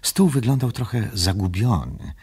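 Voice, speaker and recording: A man narrates a storybook in a very low voice. It is extremely husky and raspy, yet the intonation moves in a lively way through the scripted narration. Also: moderate pace.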